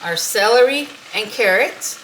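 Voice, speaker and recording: A middle-aged woman hosting a casual home cooking video, talking in an unhurried, homey way while she cooks, with frequent fillers. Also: a little high, animated, background noise. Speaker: cooking channel host. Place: home kitchen. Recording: home kitchen with sizzling pan. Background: frying and sizzling.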